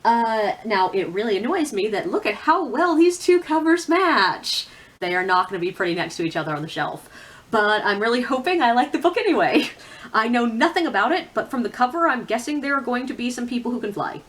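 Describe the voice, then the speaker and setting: A woman's voice: fairly high pitch, sing-song, brisk, a bit bright. Chatty, candid woman vlogging about books. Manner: candid, opinionated, informal asides to the viewer. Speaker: book reviewer on a video channel. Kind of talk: casual chatty vlog talk about books.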